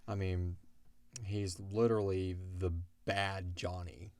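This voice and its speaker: A man's voice: low voice, little pitch movement, slow pace, a little mellow, smooth, no room sound. A man talking in a casual, rambling, conversational way with lots of "like", as a show's creator answering listener questions. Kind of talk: casual Q&A discussion.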